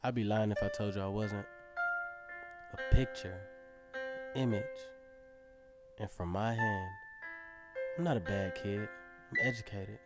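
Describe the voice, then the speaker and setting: A man's voice: on the low side, a little slow, pauses often. An actor playing a cocky, dreamy teenage circus acrobat who narrates his own story in a casual, self-assured way for a radio drama. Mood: wistful, defensive. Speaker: narrator and lead character. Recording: music playing behind the voice. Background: instrumental music.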